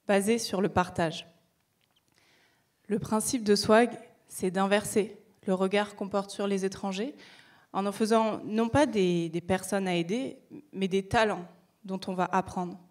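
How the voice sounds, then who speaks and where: Slow, measured young woman on stage. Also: medium pitch. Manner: measured, rhetorical questions, light humor. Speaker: project founder presenting. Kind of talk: prepared stage talk.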